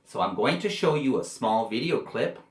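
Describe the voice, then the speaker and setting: A man from Canada, giving a video lesson to young pupils in slow, simple, friendly speech. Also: mid-range voice, sing-song. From Canada, British Columbia. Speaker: English teacher for children. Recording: roomy echo.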